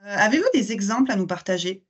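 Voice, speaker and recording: A woman's voice: mid-range voice, expressive, fast, dull audio, background noise. A woman interviewer reading formal, scripted questions and introductions in a polished way.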